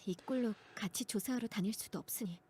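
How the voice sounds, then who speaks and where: A woman acting a young, guilt-ridden colleague who reluctantly leans on her partner, in Korean visual novel voice work, with a breathy voice. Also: mid-range voice, rapid-fire, a little mellow, a little hiss or hum, natural voice. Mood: downcast, guilty.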